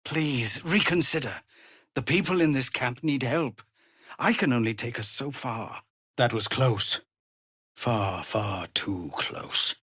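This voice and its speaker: A male actor voicing a weary, earnest refugee leader and fallen warrior in a fantasy video game, with a gravelly, husky voice and a measured, formal, grave delivery. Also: medium pitch, sharp tone, no echo, clean studio sound, heavily acted. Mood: urgent, earnest. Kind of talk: dramatic fantasy game dialogue.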